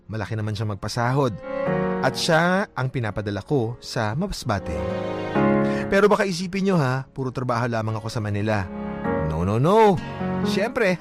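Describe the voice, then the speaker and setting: An animated storyteller , a man voicing a housemaid's own account, with a mid-range pitch that moves a lot. The delivery is earnest and chatty, halting at times, over clear background music. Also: lightly acted. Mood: chatty, teasing. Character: young housemaid from the province telling her life story, earnest. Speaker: story narrator. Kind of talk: dramatised letter narration, conversational. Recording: music behind the voice.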